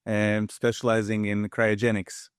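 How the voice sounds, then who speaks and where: A man in his fifties from Russia, a guest expert speaking in a measured, halting way with frequent restarts. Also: on the low side, monotone, smooth, dry recording, clean studio sound. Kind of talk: casual interview talk.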